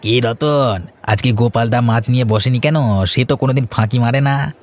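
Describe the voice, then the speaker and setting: A man's voice: medium pitch, normal speed, lightly acted. A man playing a mean-spirited, smug village man in a cartoon, who mockingly delights in others' misfortune. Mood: nosy. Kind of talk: cartoon dialogue acting.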